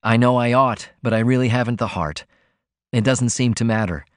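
A man voicing a weary, wry man in a storybook reading, speaking deliberately with quiet irony and contempt. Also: medium pitch, no room sound, studio quality, close to an everyday voice. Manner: soft-spoken, deliberate, ironic.

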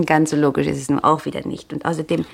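An actress playing an affectionate, talkative wife in a radio play, rambling and lively. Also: female voice, low voice, quick pace, gravelly, breathy edge, full character voice.

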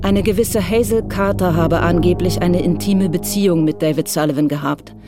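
A woman narrating a crime radio play in a detached, measured, matter-of-fact way. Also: lowish voice, music in the background, natural voice.

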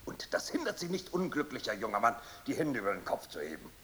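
An actor playing a stern, formal captain in a radio play, declaiming in an officious manner. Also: male voice, medium pitch, very animated, fast talker, a bit bright. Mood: commanding.

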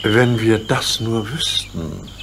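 Man playing slow, dignified old Chinese emperor. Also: fairly low pitch, noisy background. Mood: solemn. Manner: stately, formal, measured old-fashioned speech. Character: dignified, solemn old Emperor of China, worried father. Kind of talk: radio play acting for children.

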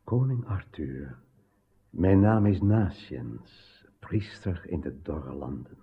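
Radio-play ancient priest: dignified, deliberate, wide-swinging delivery on a narrow, dull recording. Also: male voice, low voice, lively intonation, exaggerated voice. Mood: solemn, proud. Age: older. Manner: solemn, declamatory. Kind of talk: radio drama acting.

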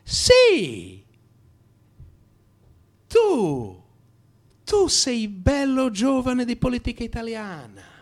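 A man voicing a brash nightclub woman who speaks in halting, broken sentences, in a radio monologue. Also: very high voice, huge pitch swings, pitched above the performer's own voice, heavily acted. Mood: insistent. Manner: halting, broken grammar, repeats words. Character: brash red-haired nightclub woman with broken, foreign-sounding speech.

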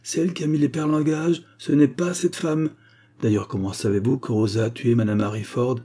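A man reading the part of a spirited young woman in a story, firm, energetic and defiant. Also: fairly low pitch, fast talker, natural voice. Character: spirited, bold young woman defending the innocent.

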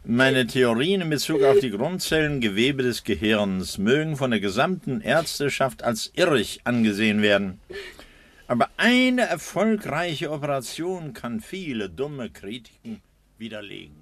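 An actor playing a smug, courteous, menacing middle-aged doctor in an early 1960s German radio crime play. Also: male voice, medium pitch, very animated, unhurried, a bit bright, no echo. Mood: smug, grandiose. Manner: suave, mock-courteous, self-satisfied. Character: smug, politely menacing mad brain surgeon, father of an adult daughter. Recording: noisy old radio drama recording.

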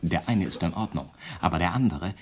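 A man's voice: deep voice, animated, a little fast, slight background noise. An actor playing a cheerful, naive young man who laughs and hums, in a German radio play from the 1980s.

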